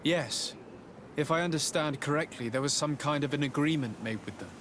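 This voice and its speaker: An actor playing a courteous, earnest adventurer and envoy in a fantasy game, speaking formally and with composure. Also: male voice, on the high side, a little fast, noisy background, lightly acted. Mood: polite, composed. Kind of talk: fantasy video game cutscene acting.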